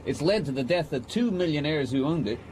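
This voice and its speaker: An actor playing a confident, frank American aviator, breezy and matter-of-fact, in a noisy radio drama. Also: male voice, fairly high pitch, animated, brisk, a little mellow, lightly acted.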